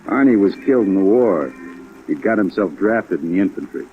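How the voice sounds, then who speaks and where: Wary slangy old-radio ex-con, lowish voice, tinny recording, music behind. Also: male voice, expressive, fairly quick. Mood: suspicious. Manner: slangy, offhand, guarded. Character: wary small-time male ex-con, guarded and slangy. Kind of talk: 1950s radio drama acting.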